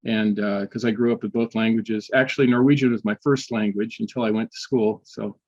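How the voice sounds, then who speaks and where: An older man, a history professor, telling personal stories in a casual interview, often restarting sentences and filling pauses. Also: lowish voice, steady tone, a little mellow, muffled recording. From United States. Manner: halting, many restarts and fillers.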